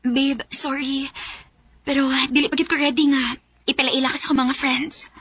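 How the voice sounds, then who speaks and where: An actress with a Cebuano accent playing a shy, hesitant young girlfriend in a radio drama, speaking softly. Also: high voice, level tone, normal speed, heavy background noise, lightly acted. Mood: apologetic, evasive. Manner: soft, hesitant. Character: hesitant, shy young girlfriend who gently holds back. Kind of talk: sentimental Philippine radio drama acting.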